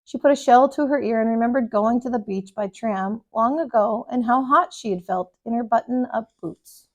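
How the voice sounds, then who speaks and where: Woman, slightly high voice, expressive storybook narration, emphatic, deliberate phrasing. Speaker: storybook reader, kindergarten teacher. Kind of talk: expressive children's read-aloud narration.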